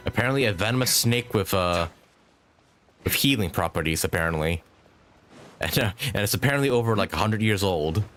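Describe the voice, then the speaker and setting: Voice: low voice, lively intonation, fairly quick, fairly bright tone. A game streamer giving casual, giggly live commentary while musing aloud.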